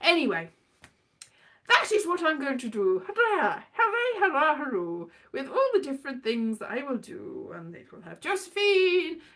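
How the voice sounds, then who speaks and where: British female vlogger, high-pitched and highly expressive; casual, whimsical, wandering delivery with playful dramatic flourishes. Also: moderate pace. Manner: whimsical, digressive, playfully dramatic, breaks into quoted voices. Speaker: performer and vlogger. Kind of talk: rambling casual monologue.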